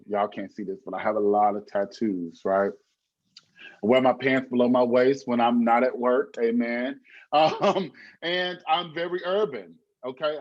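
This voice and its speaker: A man's voice: medium pitch, muffled recording. A man speaking as a panelist on a video call, emphatic and preacherly, repeating his key points.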